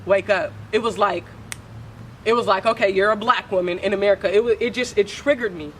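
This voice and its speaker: A young woman from Oakland with a deep voice, speaking candidly as a poet guest in a casual interview, full of slang and swearing. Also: steady tone, fairly quick, a little hiss or hum. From Oakland, California.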